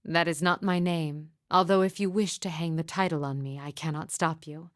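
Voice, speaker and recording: Woman acting a low-voiced, enigmatic mournful seer woman. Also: steady tone, close to an everyday voice. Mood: calm, cryptic. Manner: cryptic, formal, measured, solemn. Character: enigmatic, soft-spoken, mournful woman seer, veiled from others' eyes. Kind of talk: fantasy video game voice lines.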